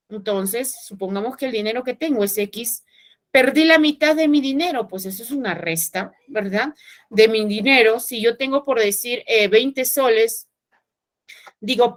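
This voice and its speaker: A woman teacher, explaining math step by step in an online class and often checking with her students. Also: fairly high pitch.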